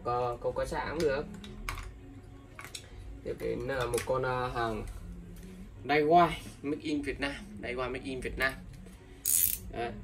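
A man selling used fishing reels in a casual, repetitive sales talk, filling pauses with ums and calling his buyers brothers. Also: mid-range voice, noisy background. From Vietnam. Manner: repetitive, many fillers, addresses buyers as brothers. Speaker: fishing tackle seller. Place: indoors, table of fishing reels. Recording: distant mic, music playing behind.

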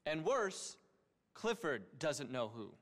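High-voiced pompous tech billionaire. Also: male voice, big pitch swings, takes it slowly, a bit bright, full character voice. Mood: urgent, grandiose. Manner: pompous keynote-speech delivery. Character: eccentric, self-important tech billionaire man, petulant and grandiose. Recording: reverberant hall sound.

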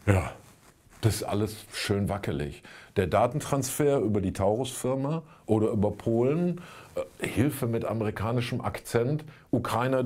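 A man's voice: on the low side, darkish tone. The sardonic older man at a studio desk who picks apart the week's politics in a satirical show. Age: 60s. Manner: sardonic, colloquial. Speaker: commentator, presenter. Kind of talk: satirical scripted political commentary. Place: indoor studio.

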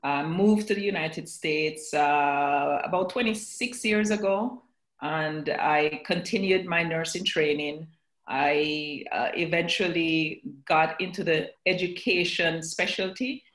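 Low-voiced woman in echoey room. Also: slow, fairly bright tone. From Jamaica. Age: middle-aged. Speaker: panel guest, nurse educator. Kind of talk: panel self-introduction. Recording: echoey room on online call.

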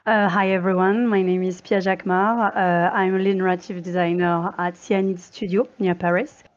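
A woman with a fairly low voice gives a casual introduction on a panel. The headset video-call sound is a little dull. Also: level tone, normal speed. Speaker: panelist, narrative designer. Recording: muffled, band-limited video call.

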